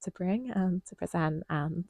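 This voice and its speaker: Low croaky woman reviewing dance. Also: a little slow, mellow, dry recording. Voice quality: slightly croaky. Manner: thoughtful, hesitant with frequent ums. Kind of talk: casual conversational review.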